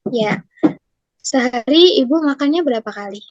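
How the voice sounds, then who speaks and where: A woman's voice: high voice, expressive, moderate pace, no room sound. A young woman acting as a nutrition counselor, asking polite, formal questions step by step. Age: young adult. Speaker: nutrition counselor in a class role-play. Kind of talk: scripted role-play consultation. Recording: dry, muffled lo-fi recording.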